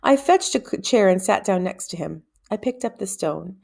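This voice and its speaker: A woman narrating a story aloud in an expressive, deliberate storybook style with dramatic phrasing. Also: mid-range voice, vocal fry.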